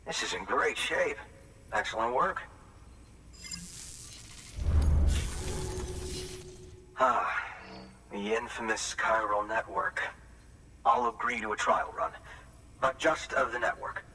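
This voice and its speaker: Game junk dealer: bitter, cantankerous, sardonic, mid-pitched, heard from a distance with noticeable ambient noise. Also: male voice, animated, a little slow, sharp tone, exaggerated voice. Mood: grudgingly pleased. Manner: curt, sardonic, profane. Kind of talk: video game cutscene acting.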